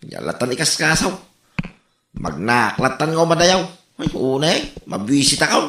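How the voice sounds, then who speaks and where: An exasperated Ilocano worker in a comedy radio drama. His bright, forceful voice leaps up and down as he grumbles and exclaims. The recording has a roomy echo. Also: medium pitch, big pitch swings, normal speed, a little hiss or hum. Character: exasperated Ilocano working man pestered by nosy neighbours.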